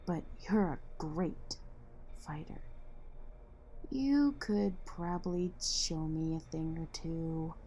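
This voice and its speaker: An actress playing a street-smart young woman vigilante in an audio drama, assertive and teasing in a measured way, with wry confidence. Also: low-pitched, dark tone, slight background noise. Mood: persuasive.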